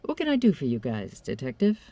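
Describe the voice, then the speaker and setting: A woman's voice: extremely low pitch, huge pitch swings, brisk, warm tone, dry recording, exaggerated voice. An actress playing a kindly middle-aged shopkeeper and mother in a video game cutscene. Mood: kindly, concerned. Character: kindly working mother, female small-town shopkeeper.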